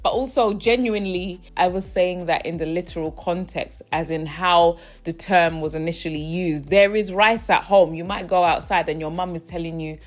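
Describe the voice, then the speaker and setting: A woman's voice: fairly low pitch, normal speed, hardly any pauses. A young woman talking casually in her own vlog, chatty and often checking in with the viewer. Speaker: vlogger host. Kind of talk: casual personal vlog monologue.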